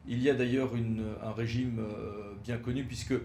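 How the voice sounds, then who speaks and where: A man's voice: mid-range voice, fairly even intonation, moderate pace, dark tone. Guy explaining stuff like a law lecture, stresses his points, lots of hesitating, echoey room w a bit of noise. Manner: didactic, emphatic signposting with frequent hesitations. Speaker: legal expert presenting. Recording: roomy echo with faint background noise.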